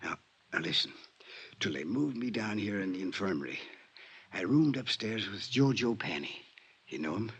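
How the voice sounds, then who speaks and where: Dying male convict, hoarse, forceful strained edge, halting slang, resigned and wry, old radio drama. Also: lowish voice, animated, heavily acted. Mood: sly, urgent. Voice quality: hoarse, weak, coughing. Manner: slangy, halting tough-guy speech. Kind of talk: 1950s radio drama acting. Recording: vintage 1950s radio recording.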